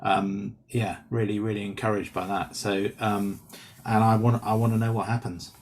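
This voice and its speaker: Guy with a lowish voice, actor chatting through his notes on a script, keen and encouraging. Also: little pitch movement, moderate pace, darkish tone, slight background noise. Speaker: actor on a script reading panel. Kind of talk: casual spoken feedback.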